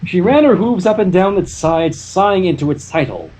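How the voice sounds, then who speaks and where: A reader narrating comic fan fiction with a husky voice, animated and stumbling, with nervous asides. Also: medium pitch, normal speed, low-quality recording.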